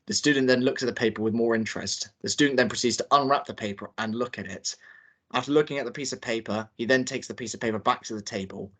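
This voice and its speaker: A man reading out the stage directions at a table read in a plain, steady way. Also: mid-range voice, quick pace.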